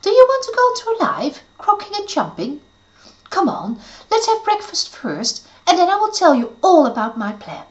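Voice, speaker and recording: A woman's voice: extremely high pitch, wildly swooping pitch, normal speed, dull audio, voice pushed up, full character voice. A woman voicing a small, bossy and impatient female fairy. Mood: eager.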